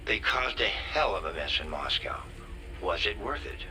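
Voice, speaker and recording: Shadowy intelligence chief, extremely low voice, forceful edge, terse, measured, spy game drama. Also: male voice, sing-song, full character voice. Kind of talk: cinematic spy game acting.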